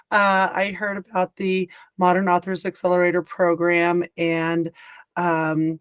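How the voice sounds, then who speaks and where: A middle-aged woman introduces herself as an author on a video-call panel. She is getting over a cold, so her voice is a little raspy, and the sound is close and dry. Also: mid-range voice, dry recording. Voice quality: slightly raspy.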